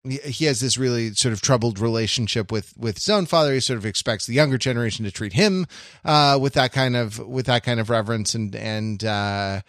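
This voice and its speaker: A man's voice: medium pitch, fairly quick, fairly bright tone, no room sound, clean studio sound. A man co-hosting a discussion podcast, thinking aloud analytically and often repeating and rephrasing as he searches for words. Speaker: podcast host. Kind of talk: analytical discussion of classic television.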